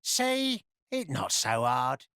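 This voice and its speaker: A woman playing a small excitable creature henchman in a video game, fawning and bossy, talking in broken grammar. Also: rumbling low, takes it slowly, clear, resonant tone, no echo, studio quality, exaggerated voice. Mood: menacing, bossy.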